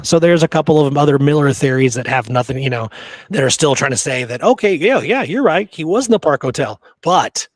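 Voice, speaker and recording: A man's voice: mid-range voice, no room sound. Blunt male podcast host, dry sound. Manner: opinionated, blunt, conversational. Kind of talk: casual history discussion.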